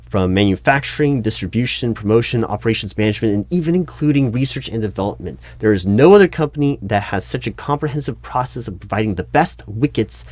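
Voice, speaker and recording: A man playing an earnest, slightly flustered recruiting manager in an interview roleplay, speaking in wordy, halting corporate jargon. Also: medium pitch, a little mellow, no echo, natural voice. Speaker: roleplay performer. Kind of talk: one-sided interview roleplay, soft-edged office talk.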